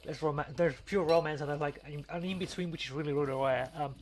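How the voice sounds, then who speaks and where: Male podcast host, slightly high voice, casual and rambling, stumbles over words, clear background noise. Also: fairly even intonation, quick pace, darkish tone. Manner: rambling, stammering, repeats words. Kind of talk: casual chat over gameplay.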